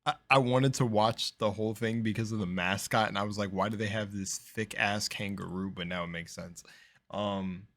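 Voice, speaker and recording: A young man with a slight lisp talks through a reaction video in a rambling, filler-packed way. His voice sits fairly low, and it swings from loud bursts down to half-mumbled asides. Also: fairly even intonation, moderate pace. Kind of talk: casual rambling reaction commentary.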